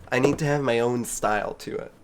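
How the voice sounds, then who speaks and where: A man's voice: medium pitch, moderate pace, noisy background. A young man with a cold, sounding nasal and stuffed up, giving rambling, self-mocking gameplay commentary as a streamer. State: congested with a cold. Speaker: video game streamer.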